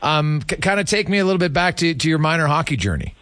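A man's voice: fairly high pitch, sing-song, fast, fairly bright tone, no room sound. A man hosting a sports show, asking questions in a casual, conversational interview style. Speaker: sports radio host.

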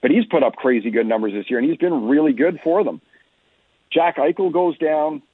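A man's voice: on the high side, normal speed, breathy edge, no room sound. A man giving conversational, explanatory sports analysis as an interview guest, often stressing his points. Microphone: remote phone-like connection. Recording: narrow phone-like line, muffled detail.

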